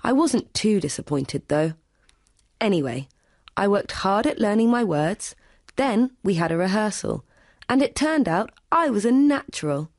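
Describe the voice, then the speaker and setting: Expressive woman with a chatty read. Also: mid-range voice, unhurried, no echo, studio quality. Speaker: exam recording speaker. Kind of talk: scripted monologue reading.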